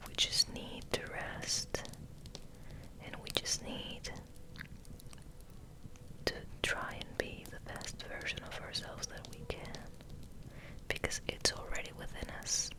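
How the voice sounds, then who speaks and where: Slow whispering woman, ASMR. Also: rough recording. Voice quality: breathy, unvoiced whisper. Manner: slow, gentle, soothing, repeats words softly. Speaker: ASMR host. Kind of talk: whispered ASMR ramble with soothing affirmations.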